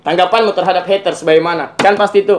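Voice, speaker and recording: A man's voice: high voice, fairly even intonation, fast, background noise. A man with a Kendari, Southeast Sulawesi Indonesian accent, hosting a casual interview in a chatty, teasing way and repeating phrases for emphasis. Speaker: YouTube interviewer and host. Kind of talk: casual, joking interview chat.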